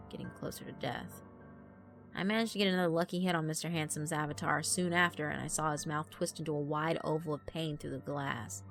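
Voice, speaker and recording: A woman narrates a scripted horror story in a grave, deliberate way. Her voice is on the low side yet bright and tense, with faint music playing behind her. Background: background music.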